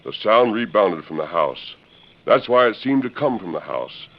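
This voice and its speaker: An actor playing a steady, authoritative masked western lawman in old radio drama, declaiming with assurance on a vintage recording. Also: fairly low pitch, moderate pace, dull audio. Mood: explaining, assured. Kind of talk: 1930s-40s western radio drama acting.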